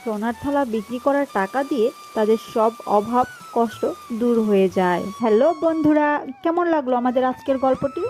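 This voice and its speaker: A woman's voice: on the high side, brisk, a little mellow. A woman narrating a scripted children's cartoon story as its storyteller. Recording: music behind the voice.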